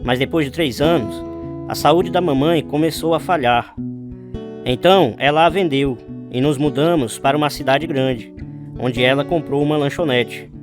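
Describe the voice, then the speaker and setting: A man's voice: a little high, moderate pace, over music, natural voice. An actor playing an earnest man recalling his youth in scripted radio drama narration. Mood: reflective.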